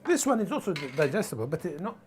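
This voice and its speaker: A man from the Middle East or North Africa talking casually and reflectively, haltingly searching for words. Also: fairly high pitch, sing-song, background noise. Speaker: documentary interviewee.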